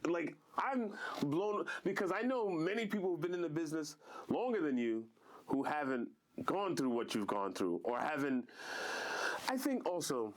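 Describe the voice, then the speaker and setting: A man hosting a casual conversation, encouraging his guest with steady affirmations. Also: a little high, normal speed. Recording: faint hiss.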